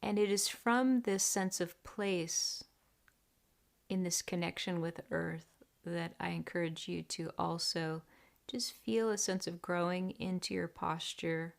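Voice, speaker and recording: Very slow, creaky-voiced woman guiding meditation. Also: on the low side. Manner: deliberate, measured, with long pauses. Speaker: meditation teacher. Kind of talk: guided meditation.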